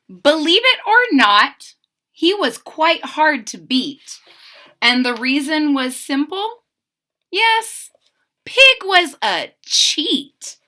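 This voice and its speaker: Animated, bright-voiced woman reading to children. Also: a little high. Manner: animated, sing-song emphasis, addresses children directly. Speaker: teacher reading a picture book aloud to children. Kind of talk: expressive children's read-aloud with questions to listeners.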